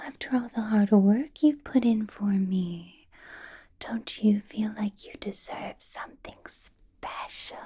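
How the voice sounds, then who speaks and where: Woman playing a slow, whispering vampire boss. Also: fairly high pitch, heavily acted. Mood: doting, sly. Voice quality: breathy, soft whisper. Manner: slow, deliberate, coaxing, with lingering pauses. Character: possessive, seductive female vampire boss, politely menacing. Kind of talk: ASMR roleplay, personal attention.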